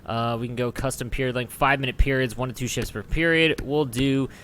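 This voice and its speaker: A man's voice: mid-range voice, steady tone, fairly quick, noisy background. A man giving casual gameplay commentary as the host of a gaming video.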